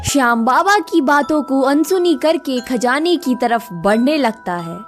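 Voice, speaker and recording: Woman, story narrator, higher pitch, scripted children's tale, music behind. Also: animated, a little slow.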